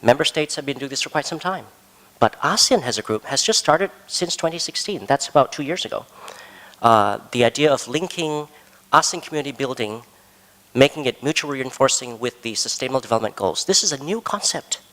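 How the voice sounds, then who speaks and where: A man giving earnest, measured remarks on a conference panel and stressing his key words. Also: medium pitch, animated, fairly bright tone. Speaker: panel speaker. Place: large conference hall. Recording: long hall reverb.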